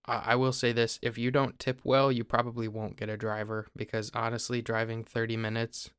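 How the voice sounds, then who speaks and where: A young man from Ohio, host of a podcast, explaining things in a relaxed, casual way with small restarts. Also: medium pitch, fairly quick, clear, resonant tone, no room sound, clean studio sound. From Ohio, USA.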